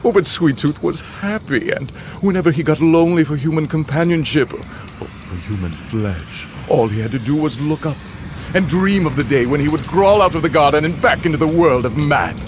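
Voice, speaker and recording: An actor voicing a sinister, gloating contest master, narrating a video game in a dramatic, drawn-out way. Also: male voice, a little high, lively intonation, noisy background, close to an everyday voice. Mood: ominous. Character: sinister, gloating male host of a deadly car contest. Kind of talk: theatrical scripted game narration. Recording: loud music behind the voice.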